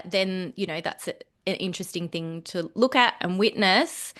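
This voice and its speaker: A woman co-hosts a casual podcast in a smooth, clear voice at a middle pitch. She thinks aloud slowly, pausing often on ums and likes as she works through ideas. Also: fairly bright tone. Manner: reflective, hesitant, many ums and likes. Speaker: podcast co-host.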